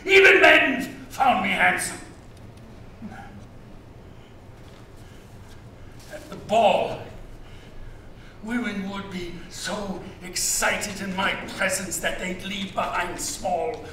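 A vain old slave-ship captain in a stage drama. His theatrical voice is slow and high for a man, heard from far off in a very echoey hall. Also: animated, sharp tone, heavily acted. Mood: outraged, desperate. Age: older. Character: vain, possessive old slaving captain and former senator. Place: large theater stage, indoors. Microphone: far from the speaker on stage. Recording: echoey hall, distant mic, hiss.

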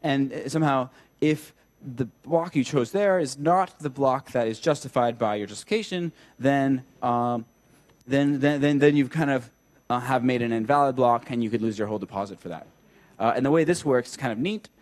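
A man's voice: mid-range voice, normal speed, a little mellow. A young man giving a technical talk at a meetup, thinking aloud with many fillers and restarts while he draws on a flip chart. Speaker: speaker at a tech meetup. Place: meetup room with a projector.

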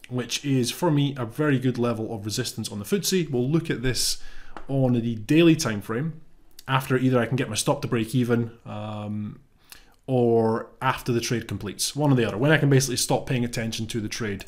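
A man in his thirties with a slight cold, explaining a trade in a relaxed, thinking-aloud way from his home office webcam. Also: mid-range voice. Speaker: trading educator. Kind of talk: casual explanatory live-trade walkthrough.

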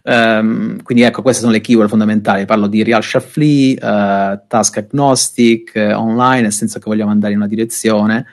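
Young guy, lowish voice, giving a tech talk on a video call, lots of ums and restarts. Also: fairly even intonation, moderate pace, few pauses. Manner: explains loosely, with many fillers and restarts. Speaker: speaker.

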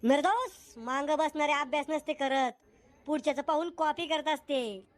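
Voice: high-pitched, normal speed, lightly acted. A voice actor playing a cheeky, boastful village schoolboy.

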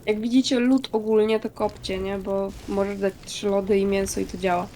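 A woman's voice: medium pitch, little pitch movement, normal speed, mellow, a little hiss or hum. A woman chatting casually during a game, often explaining things to the other players. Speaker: player in a group gaming session. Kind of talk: casual gameplay chat.

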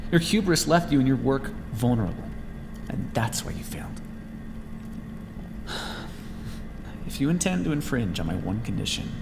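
A man voicing a sardonic, protective vampire scientist in an ASMR roleplay, with dramatic, formal speech that often drops toward a whisper. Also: mid-range voice. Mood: cold, bitter. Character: desperate, sardonic male vampire scientist, protective of his captive. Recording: hall-like reverb with clear background noise.

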